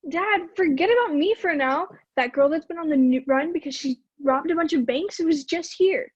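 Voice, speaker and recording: A woman plays a bewildered, indignant runaway girl in a stage drama. Her voice is high and incredulous. It sounds close and dry, slightly dulled. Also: moderate pace, no echo, muffled recording, lightly acted. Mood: urgent. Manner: incredulous, pressing with questions. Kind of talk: amateur stage play acting.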